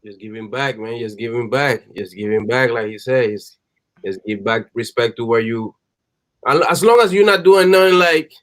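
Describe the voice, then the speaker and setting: A man's voice: medium pitch, moderate pace, fairly bright tone. Laid-back man dropping slang. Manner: laid-back slang, "you know what I mean". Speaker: guest, musician. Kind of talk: casual roundtable chat.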